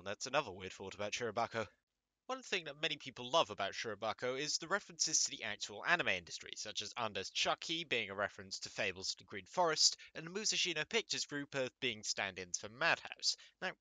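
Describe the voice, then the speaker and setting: A man's voice: mid-range voice, bright, forceful tone, dry recording, low-quality recording. A man hosting a scripted comedic commentary in a sarcastic, mock-exasperated, sweary way. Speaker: host of an anime commentary video channel. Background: music under the voice.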